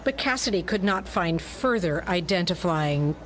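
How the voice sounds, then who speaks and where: A woman's voice: fairly low pitch, animated, moderate pace, slight background noise. A woman delivering dramatic scripted narration for a pulp detective story, as in radio theatre.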